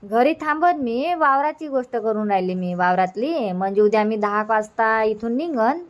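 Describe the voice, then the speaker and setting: High-voiced, homely Marathi village mother played by a woman. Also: lively intonation, moderate pace, natural voice. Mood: chatty, explaining. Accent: Varhadi Marathi. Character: chatty, practical village mother, middle-aged or older, organising an outing. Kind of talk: cartoon comedy dubbing.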